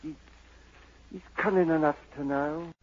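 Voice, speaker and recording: An actor playing a sly, bullying, bedraggled Cockney rogue in a 1940s radio drama, sneering and slangy. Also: male voice, a little high, fairly even intonation, unhurried. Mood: gloating, scheming. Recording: dull old 1940s radio broadcast.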